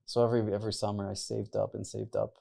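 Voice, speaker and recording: Gravelly low-voiced man, chatty guest. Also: little pitch movement, quick pace, dark tone. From Mexico and the US. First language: Spanish. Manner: loose and chatty, many "like" and "right?" fillers. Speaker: podcast guest, entrepreneur. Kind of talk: casual interview talk.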